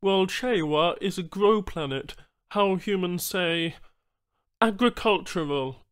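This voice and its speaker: High-pitched alien trader. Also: male voice. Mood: businesslike. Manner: halting, oddly ordered phrasing. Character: alien male trader, formal, eager, stilted syntax. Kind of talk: audiobook character voice.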